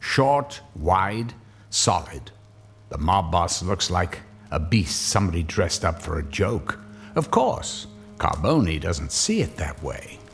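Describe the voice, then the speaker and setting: A man narrates a radio crime drama with a very deep voice that still rises and falls widely. He tells the scene in measured, vivid lines while music runs behind him. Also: very animated.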